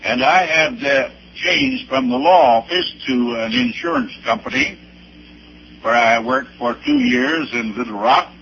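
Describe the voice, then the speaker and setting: Elderly male pastor, slow and emphatic, hugely animated pitch, recounting his life on a dull old recording. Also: creaky, a little hiss or hum. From Arkansas, United States. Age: 70s or older. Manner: deliberate, halting, with emphatic spelled-out dates and places. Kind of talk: personal testimony with anecdotes, preaching delivery.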